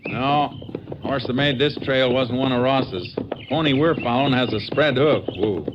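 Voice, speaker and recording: An actor playing a stern, methodical Texas Ranger in an old American radio drama, speaking tersely and deliberately with an American accent. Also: male voice, mid-range voice, close to an everyday voice. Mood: brisk, intent. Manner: terse, deliberate, matter-of-fact. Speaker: lead actor and narrator. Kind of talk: mid-century American radio drama acting. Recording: muffled, noisy vintage radio recording.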